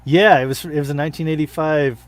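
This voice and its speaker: A woman's voice: sits low, fairly even intonation. A woman musician chatting casually and trading jokes with friends. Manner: quick, joking banter. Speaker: singer and musician. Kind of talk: casual chat.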